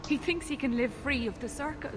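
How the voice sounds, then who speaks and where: A woman's voice: high-pitched, fairly quick, lightly acted. Actress as an anxious elven mother, noisy backdrop. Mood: anxious, confiding. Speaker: game character voice actor. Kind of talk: dramatic video game dialogue.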